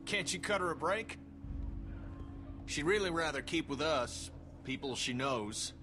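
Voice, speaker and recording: A man's voice: fairly high pitch, lively intonation, unhurried. An actor playing a stubborn, protective male survivor in a video game, blunt and insistent. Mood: worried. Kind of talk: naturalistic video game acting. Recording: game audio with faint noise.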